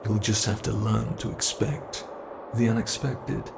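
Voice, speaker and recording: A British man voices a predatory monster from under the bed, drawling each taunt with deliberate slowness. His voice is rough with fry, and it sinks to whispers as he toys with his prey. Faint noise hums beneath the recording. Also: lowish voice, steady tone. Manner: slow, taunting and playful, dropping to whispers. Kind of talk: ASMR horror roleplay.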